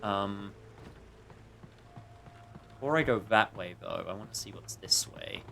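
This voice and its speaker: A man's voice: on the low side, measured pace, vocal fry, sharp tone. A man doing casual let's-play commentary, playfully reacting and muttering to himself, with some swearing. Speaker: game streamer. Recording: faint background noise.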